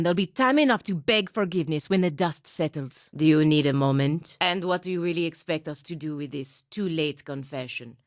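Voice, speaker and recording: Low-voiced, proud, sardonic lady paladin. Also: no room sound. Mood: stern, commanding, then dry, sardonic. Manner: dry, sardonic, commanding. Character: stern, proud avian godlike woman paladin, dutiful and sardonic. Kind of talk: video game character dialogue.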